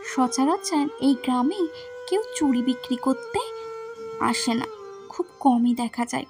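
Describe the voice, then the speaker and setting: A woman with a fairly high voice narrates a scripted cartoon story for children. Soft music plays behind her. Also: animated, measured pace. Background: background music.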